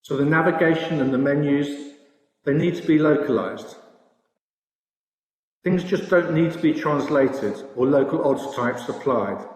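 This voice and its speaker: A British man presenting at an industry conference with a slide talk, speaking haltingly and repeating words now and then. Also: on the high side, darkish tone. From Britain. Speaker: industry conference presenter, sportsbook products director. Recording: echoey room with long reverb.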